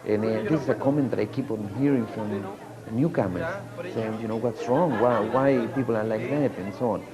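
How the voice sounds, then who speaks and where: A man's voice: lowish voice, normal speed, a crowd in the background. A man, a community organizer giving a hesitant documentary interview full of fillers and restarts. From Latin America.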